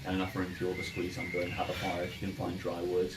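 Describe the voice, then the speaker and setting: A man's voice: low voice, monotone, very fast. A man running a tabletop game as game master, narrating casually and repeating words as he improvises. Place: in-person game around a table. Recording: distant mics, noisy background ambience. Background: ambient game music and noise.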